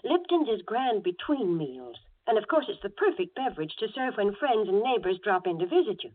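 A woman's voice: mid-range voice, sing-song, brisk. A woman announcer reading a scripted 1940s radio commercial in a folksy, polished sales manner. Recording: tinny, narrow 1940s radio broadcast.

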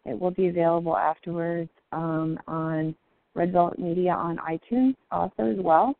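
A woman hosting a live indie music show, raspy from the flu, talking haltingly with many ums. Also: lowish voice, fairly even intonation. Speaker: radio show host. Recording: tinny phone-like line.

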